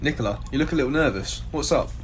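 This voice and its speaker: A man's voice: mid-range voice, animated, fast, slight background noise, close to an everyday voice. A man playing a concerned office colleague in an audio drama.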